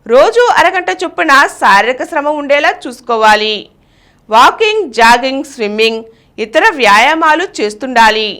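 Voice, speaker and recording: High-pitched woman reading the news. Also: animated, moderate pace, fairly bright tone. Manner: formal, announcer-like delivery. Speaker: news reader. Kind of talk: scripted news bulletin reading.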